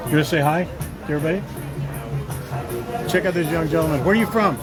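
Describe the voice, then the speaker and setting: A man with a fairly high voice hosts a walking live stream in a busy city park, teasing passers-by with quick questions. He talks into a handheld phone camera. Loud music and crowd chatter fill the background.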